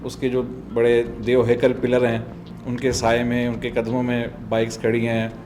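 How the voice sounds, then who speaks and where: A man's voice: mid-range voice, completely flat delivery, normal speed, noisy background. A middle-aged man with a southern Punjab Pakistani accent, telling his story conversationally as a guest in an interview. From Multan, South Punjab, Pakistan. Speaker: podcast guest, doctor and motorbike traveller.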